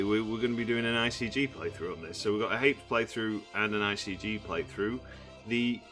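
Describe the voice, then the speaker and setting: A man, croaky from just waking up, rambling through casual gameplay commentary with many fillers. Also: on the low side, a bit bright. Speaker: gaming channel host.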